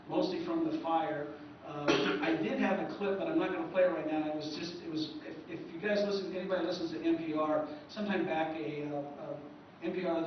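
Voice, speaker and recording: A man presents an informal history talk in a very echoey room, picked up from well away. His voice sits a little high, and he rambles with stammers and false starts. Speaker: convention presenter, radio historian. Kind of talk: informal lecture to a live audience. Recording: roomy echo, distant mic, dull, hissy.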